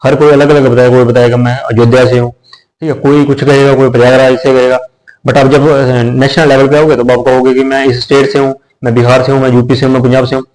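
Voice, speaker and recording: A man's voice: mid-range voice, level tone, quick pace, a little mellow, poor audio. Male teacher, casual explainer, repeats points and checks in with the class. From India. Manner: conversational, repeats phrases, checks in with listeners. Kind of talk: explanatory lecture.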